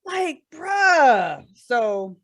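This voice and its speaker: A woman's voice: sits high, big pitch swings, very slow, a bit bright. Emphatic, slangy woman in casual chat. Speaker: guest, writer and creator of audio dramas. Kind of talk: casual, profane fan chat.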